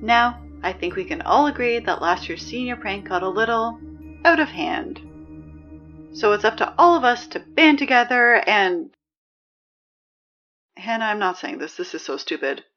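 A woman playing a reluctant, easily flustered high school announcer in an audio drama, awkward and deadpan with weary, exasperated asides. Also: normal speed, pauses often, highs cut off, faint music behind, close to an everyday voice. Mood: cautious, earnest, then irritated.

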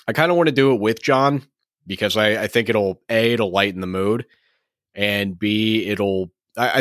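Guy hosting his own podcast, kinda hoarse, lowish voice, dry close sound, rambles w lots of ums. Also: a bit bright, no echo. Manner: rambling, frequent restarts and fillers. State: slightly hoarse and raspy. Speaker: podcast host. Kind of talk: solo podcast chat.

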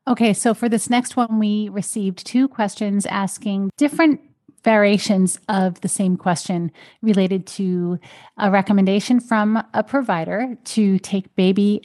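A woman's voice: mid-range voice, steady tone, moderate pace, warm tone. A woman hosting a podcast, explaining research and repeating key points for emphasis. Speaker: podcast host.

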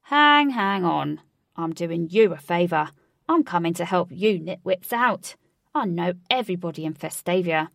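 A woman doing a loud brash stocky lad. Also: lowish voice, sing-song, moderate pace, vocal fry, few pauses, dry recording, studio quality, heavily acted. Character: loud stocky cheeky young man.

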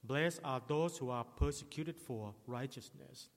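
Middle-aged man, Thai native speaker, as pastor preaching in English: slow, deliberate delivery, reverberant church hall. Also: medium pitch, creaky. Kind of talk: sermon.

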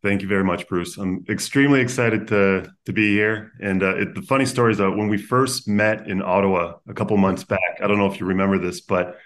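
A Canadian man with a fairly low voice tells stories as a podcast guest. He sounds upbeat and talks in long, run-on sentences. He seems to be in his thirties. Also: fairly even intonation, brisk, few pauses. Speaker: podcast guest, food-tech founder. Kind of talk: conversational storytelling.

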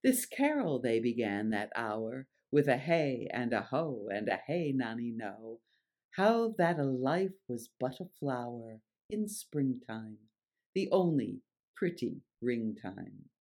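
A presenter giving scripted narration and reciting verse in a measured, deliberate, theatrical way. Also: medium pitch, sing-song, darkish tone. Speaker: presenter and reader.

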